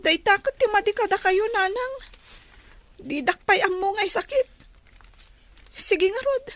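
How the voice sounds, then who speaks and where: A woman's voice: extremely high pitch, lively intonation, unhurried, a bit bright, no room sound, heavily acted. A woman playing a wistful, respectful teenage schoolgirl with a Filipino accent, pleading in a radio drama. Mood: hurt, pleading. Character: wistful teenage schoolgirl longing for united parents.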